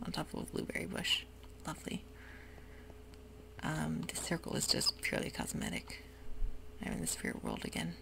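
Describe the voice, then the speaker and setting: A woman whispering soft, hushed ASMR narration over gameplay at home, with frequent ums, as keyboard clicks sound behind her. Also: deep voice, wildly swooping pitch, normal speed, vocal fry, long pauses, noisy background. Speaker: ASMR gaming creator.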